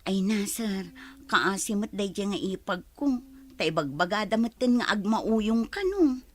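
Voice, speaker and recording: A woman's voice: mid-range voice, lively intonation, a little slow, close to an everyday voice. A woman playing a blunt, gossipy village mother in a radio drama, chatty and outspoken. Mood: gossipy, wary.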